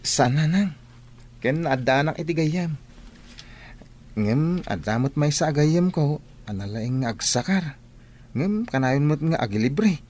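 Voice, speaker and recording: Lazy cocky student guy voiced by a man, lively swoopy delivery, kinda high, flippant radio drama acting. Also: a little slow, pauses often. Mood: making excuses. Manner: flippant, boastful, pleading. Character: cocky, lazy male student, breezily self-assured. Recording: clear background noise.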